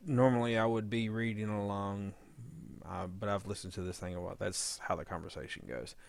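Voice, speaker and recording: A man's voice: lowish voice, a little fast, vocal fry, no echo. A man giving a casual, unscripted editing tutorial, meandering as he thinks aloud. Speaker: audio drama editor giving a demo.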